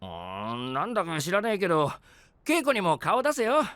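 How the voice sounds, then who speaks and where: A plain-spoken, friendly young knight in an anime voice drama. His speech is breezy and casual with very lively intonation, recorded dry and close. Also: high voice, moderate pace, no room sound, full character voice. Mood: concerned, friendly. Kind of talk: Japanese anime voice drama acting.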